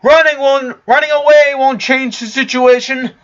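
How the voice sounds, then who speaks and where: A man's voice: very high voice, steady tone, moderate pace, a bit bright, dull audio, voice pushed up. A man voicing a determined female unicorn in a story reading, harsh and lovesick. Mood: shouting, pleading.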